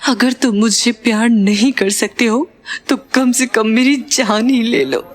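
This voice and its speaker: An actress playing an earnest, anxious young woman in an audio drama, with emotional, expressive delivery. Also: on the high side, measured pace. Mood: anguished pleading. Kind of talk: Hindi audio drama acting.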